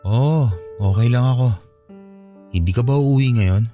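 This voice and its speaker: Casting: man playing dutiful young son in radio drama, medium-pitched, protective, quietly wounded. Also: a little slow, over music, close to an everyday voice. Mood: weary, sad. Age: young adult.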